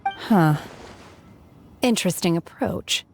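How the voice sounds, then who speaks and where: A woman playing a stoic, socially awkward young woman in a video game, with a deadpan, halting delivery. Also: on the low side, vocal fry, full character voice. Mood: detached. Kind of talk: English video game voice acting. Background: music.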